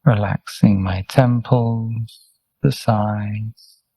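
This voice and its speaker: Slow, mellow man guiding meditation. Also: on the low side, steady tone, gravelly, breathy edge. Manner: soft, gentle, unhurried, soothing phrasing with long pauses. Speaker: meditation teacher, Buddhist monk. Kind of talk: guided meditation, step-by-step instruction.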